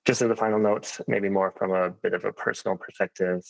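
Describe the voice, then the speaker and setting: A man's voice: sits low, fairly even intonation, brisk, gravelly, no echo, rough recording. A man giving an earnest, conciliatory prepared statement at a council hearing, with frequent fillers. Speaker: public speaker at a council hearing. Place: council chamber.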